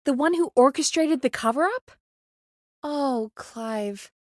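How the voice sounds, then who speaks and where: A woman's voice: sits high, expressive, slow, darkish tone, no room sound, studio quality. A woman playing a frank, anxious but caring radio producer in a video game, talking in an informal, emphatic, exasperated way. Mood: troubled. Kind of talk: conversational video game voice acting.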